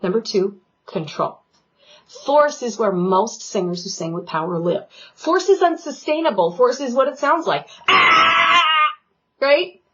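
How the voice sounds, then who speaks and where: A woman vocal coach giving an online singing lesson, talking in a loud, animated and playful way. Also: a little high, a little slow, a little hiss or hum. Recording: dull lo-fi sound.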